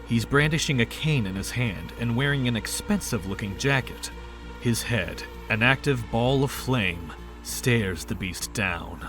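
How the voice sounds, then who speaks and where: A man's voice: medium pitch, lively intonation, measured pace. A man narrating a scripted audio drama in storybook style. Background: background music.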